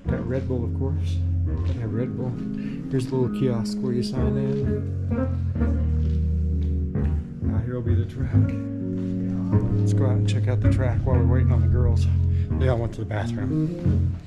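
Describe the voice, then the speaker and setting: A man's voice: medium pitch, level tone, moderate pace, long pauses, muffled recording, over loud music. A man in his fifties vlogging casually, talking to an action camera at arm's length. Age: 50s. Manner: chatty, laid-back tour-guide talk. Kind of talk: casual vlog narration. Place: indoor go-kart venue and home garage. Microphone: action camera held at arm's length.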